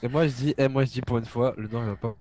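A man's voice: mid-range voice, fairly even intonation, fast, mellow, a little hiss or hum. A man complaining in a self-mocking, whiny way while chatting with friends during a game. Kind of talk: casual gaming chat with friends.

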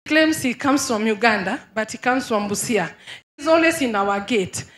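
A woman's voice: a little high, normal speed, roomy sound. A young woman from Kenya, a congregant who talks emotionally and eagerly confirms what the prophet says. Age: young adult. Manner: emotional, excitable, laughing confirmations.

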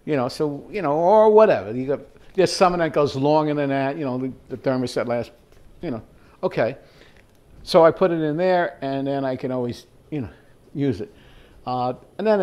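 A man's voice: on the high side, a little mellow, muffled recording. An older man hosting a home cooking show, chatting casually as he demonstrates in his kitchen, rambling with fillers and chuckles. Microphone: fixed camera at mid distance.

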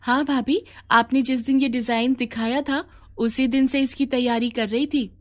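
A woman's voice: high voice, fairly even intonation, normal speed, pitched above the performer's own voice, natural voice. A woman voicing an eager, tender-hearted young girl who paints henna, in a cartoon story. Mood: eager, modest.

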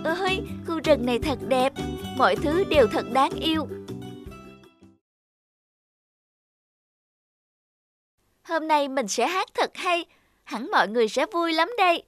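An earnest young cartoon songbird, muses aloud in a high, expressive voice. Loud music plays behind. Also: female voice, normal speed. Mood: cheerful. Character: young female oriole songbird, earnest and fretful. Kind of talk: children's cartoon acting.

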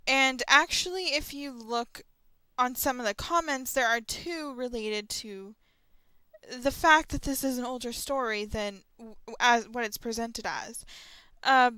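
A woman's voice: fairly high pitch, unhurried, bright, forceful tone, clear, resonant tone, no room sound. A young adult woman talking informally and a little tentatively, as in a casual solo audio log. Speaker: vlogger and host of an audio log. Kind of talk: casual rambling solo chat about horror stories.

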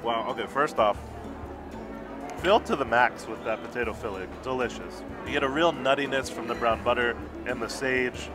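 Thirties male food vlogger, gushing, lapel mic in a busy restaurant, music underneath, mid-pitched voice. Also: normal speed. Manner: enthusiastic, gushing about food. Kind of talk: casual restaurant review. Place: busy indoor restaurant patio.

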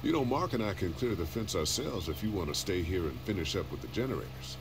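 An actor playing a steady, guarded, pragmatic man surviving a zombie apocalypse, in measured, serious game dialogue. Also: low-pitched, background noise. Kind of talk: video game voice acting, dramatic dialogue. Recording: game music bed behind voice. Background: music.

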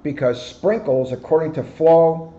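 A man in his sixties, a police officer, reading a picture book aloud with joking asides, in a high-pitched voice. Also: unhurried, mellow. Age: 60s. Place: office, indoors. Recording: dull, lo-fi camera microphone.

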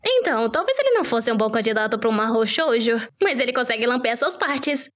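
Woman acting an excitable, high-pitched young cartoon narrator. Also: fast talker, over music. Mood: chatty narrating. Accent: Brazilian. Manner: cutesy, expressive. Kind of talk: animated storytime narration, fandub.

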